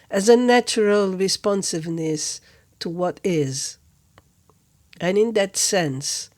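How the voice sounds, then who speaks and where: Woman talking real slow and gentle, calm spiritual reflection, lots of pauses. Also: on the low side. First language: Portuguese. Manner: gentle, measured, with long pauses between phrases. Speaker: spiritual teacher, podcast host. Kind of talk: reflective contemplative talk.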